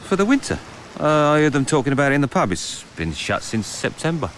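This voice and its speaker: An actor playing a nervous, sceptical film crew member, talking colloquially in a naturalistic audio drama. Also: male voice, a little high, sing-song, brisk, fairly bright tone, slight background noise. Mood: puzzled. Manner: edgy, colloquial.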